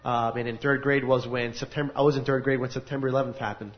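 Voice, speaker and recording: A man's voice: mid-range voice, level tone, fast. Earnest man telling his story, lo-fi. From United States. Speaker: speaker giving a testimony. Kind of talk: personal testimony at a faith gathering. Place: large room with an audience. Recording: dull lo-fi recording.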